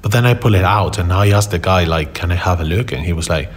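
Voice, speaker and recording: A man from Spain with a Spanish accent, telling personal stories in conversation in a halting way, repeating words for emphasis. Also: deep voice, slight background noise. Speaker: interview guest, photographer.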